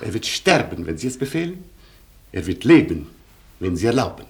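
Proud, theatrical Polish colonel, swooping delivery. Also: male voice, lowish voice, big pitch swings, moderate pace, gravelly. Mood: romantic, gallant. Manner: theatrical, gallant, commanding. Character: proud, romantic, imperious Polish colonel and aristocrat. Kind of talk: radio play acting.

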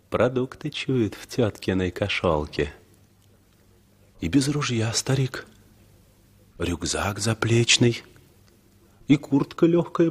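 Brooding traveller in his thirties, husky voice, slow declamatory delivery, scornful, 1960s radio play. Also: medium pitch. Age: 30s. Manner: declamatory, world-weary. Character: brooding, scornful thirty-year-old unshaven traveller at a bus station. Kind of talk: poetic inner monologue in a 1960s Soviet radio play.

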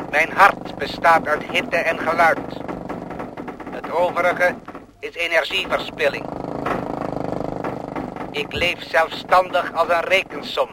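An actor playing a cold, boastful thinking machine that scorns humans, in solemn declamatory radio play style. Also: male voice, on the high side, background noise. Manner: solemn, pompous, rhetorical.

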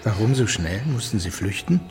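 An actor playing a brisk, sceptical, commanding male lead investigator in a radio drama. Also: lowish voice, sing-song, a little mellow. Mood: probing.

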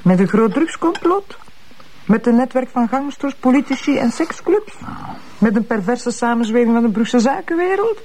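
Mellow, mocking Flemish official, played by a woman. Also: fairly high pitch, expressive, moderate pace, a little hiss or hum, close to an everyday voice. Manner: sarcastic, dismissive. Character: sceptical, mocking female justice official reining in a policeman. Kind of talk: naturalistic TV crime drama acting.

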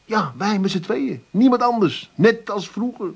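An actor playing a scheming, coaxing working-class husband in a comedic radio play. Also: male voice, sits high, animated, unhurried, vocal fry, husky, heavily acted.

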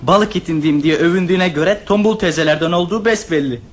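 A man's voice: on the high side, fast, close to an everyday voice. An actor in a radio play voicing a bossy, know-it-all teenage eldest brother who orders his siblings around. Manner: bossy and commanding.

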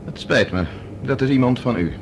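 Man playing determined fugitive scientist, medium-pitched, practical and drily defiant, old sci-fi radio drama with light noise. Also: moderate pace, natural voice. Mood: dry defiance. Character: resolute male professor fleeing by spaceship. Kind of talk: Dutch radio drama acting.

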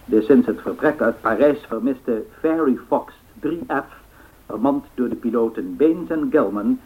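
A man acting a formal, factual 1930s radio newsreader, measured, through an old radio filter. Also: mid-range voice. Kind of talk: 1930s news bulletin in radio drama. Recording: tinny narrow-band radio sound.

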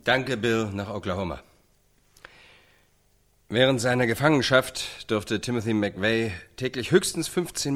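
Radio-drama studio anchor, male actor: mid-pitched, very lively intonation, grave and emphatic. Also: lightly acted. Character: earnest, theatrical news anchor of a reality show. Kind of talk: radio drama news presenting.

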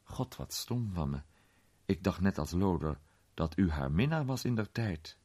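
Gentleman detective for a storybook man performing: composed, well-mannered, learned, low and husky. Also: exaggerated voice. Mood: polite urging. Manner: calm, polite, measured. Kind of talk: dramatized storybook reading.

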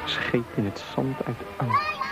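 An actor playing a haunted young soldier who confesses in bare, short poetic sentences for a radio drama. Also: male voice, medium pitch, huge pitch swings, slow, over loud music, full character voice.

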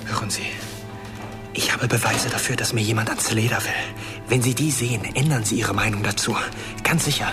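An actor playing a nervous but defiant young student in a radio crime drama, stammering and insistent. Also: male voice, fairly low pitch, brisk, over music, natural voice. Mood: upset, suspicious. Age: young adult.

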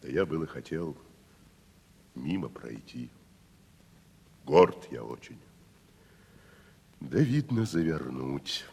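An actor playing a proud, haughty provincial tragedian, patronizing and theatrical, in a 1970s audio stage drama. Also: male voice, extremely low pitch, expressive, takes it slowly, creaky, long pauses, darkish tone, slightly rough, full character voice. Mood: proud, condescending. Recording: reverberant hall-like studio recording.

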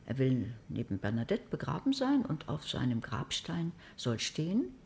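A woman's voice: rumbling low, huge pitch swings, normal speed, a little mellow, heavily acted. An actress playing a sceptical older woman in a German radio crime drama, speaking in a dry, blunt, sardonic way. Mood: wry.

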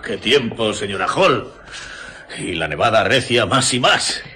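Lively, hearty village clock repairman. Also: fairly low pitch, measured pace, a little hiss or hum, exaggerated voice. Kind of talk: radio drama acting.

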